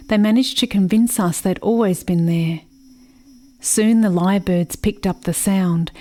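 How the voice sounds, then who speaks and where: An actress in a radio play playing a grieving, watchful country sister, narrating in a reflective, measured way. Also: female voice, fairly low pitch, mellow, lightly acted.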